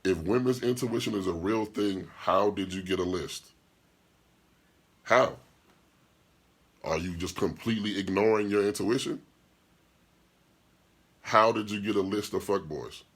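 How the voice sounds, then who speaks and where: A man hosting a podcast and talking as a DJ, with a deep voice, speaking bluntly and profanely in an opinionated monologue, firing rhetorical questions and answering live chat comments. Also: measured pace, pauses often, fairly bright tone. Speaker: podcast host and DJ.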